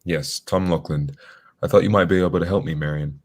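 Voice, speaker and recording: Radio mystery lead: composed, matter-of-fact detective, played by a man, deep voice, fast clipped delivery. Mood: composed, purposeful. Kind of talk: radio drama acting.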